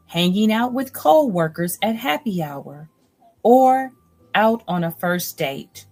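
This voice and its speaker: A woman host reading nonfiction aloud in a deliberate, earnest, instructive manner. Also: medium pitch, a little mellow. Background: music bed.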